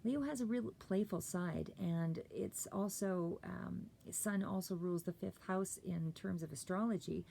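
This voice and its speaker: Brief: hoarse woman tarot reader, low gravelly mellow voice, rambling delivery, faint hum behind. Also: measured pace, few pauses. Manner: rambling, many fillers and self-corrections. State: hoarse, clearing throat. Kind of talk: casual tarot reading monologue.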